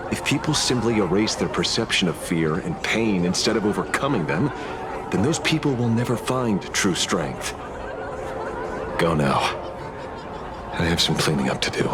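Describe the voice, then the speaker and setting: Deep-voiced wry investigator. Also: male voice, very animated, normal speed, long pauses, a loud crowd, heavy background noise. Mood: stern. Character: gruff, wry, instinct-driven male investigator. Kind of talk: video game voice acting. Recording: music behind the voice.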